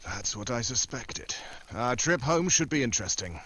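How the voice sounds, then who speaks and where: An actor playing a glib, flirtatious American secret agent in a 1940s-style radio drama, smooth and wisecracking. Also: male voice, medium pitch, lively intonation, moderate pace, pushed and bright. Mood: cool, probing.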